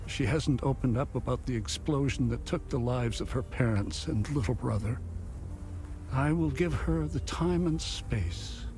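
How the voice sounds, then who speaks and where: A man's voice: mid-range voice, measured pace, darkish tone, a little hiss or hum, close to an everyday voice. Man acting a solemn, devout older abbot. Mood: calm, gentle. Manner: formal, pious, grave phrasing. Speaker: video game character, abbot. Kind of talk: dramatic game dialogue acting. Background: music.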